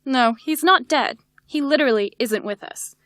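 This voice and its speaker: A woman acts as a composed president of an alien planet in a sci-fi audio drama. She is formal and measured, with a fairly high voice in a dry recording. Her manner is courteous and diplomatic. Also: fairly bright tone, dry recording. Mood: formal, gracious.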